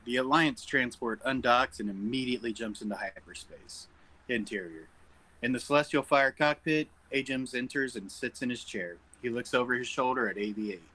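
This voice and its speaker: Guy reading out script scene headings and action at a table read, really bright crisp-edged voice. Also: mid-range voice, moderate pace. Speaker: table read narrator reading stage directions. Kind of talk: scripted screenplay narration at an informal table read.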